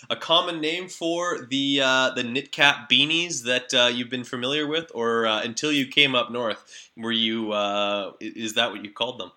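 A man's voice: mid-range voice, sharp tone. A man hosting a radio show, interviewing casually in a friendly, hesitant way with many fillers. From Canada. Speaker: radio host.

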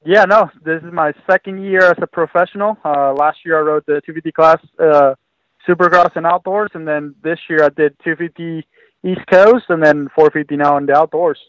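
A young man from Venezuela, heard over a dull, muffled line with a dry sound and a slightly higher voice. He speaks as a guest in an interview, relaxed and easygoing, with frequent fillers. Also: normal speed, no echo. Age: 20s. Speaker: guest, professional motocross racer. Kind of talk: casual interview.

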